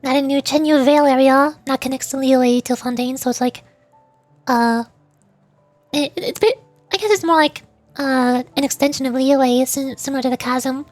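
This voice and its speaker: A young woman with a high voice chats playfully while she plays through a game on stream. She giggles often and rambles about what happens on screen. Faint game music sits under her voice. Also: moderate pace, a little mellow, no room sound. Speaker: VTuber streamer. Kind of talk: casual game commentary.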